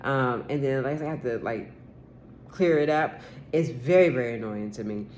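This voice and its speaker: A woman's voice: a bass voice, normal speed, a little mellow, a little hiss or hum. Woman, 40s, solo vlogger. Rambling, insistent monologue with lots of fillers and casual profanity. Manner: rambling and aggrieved, with many restarts and fillers; swears casually and repeats herself insistently.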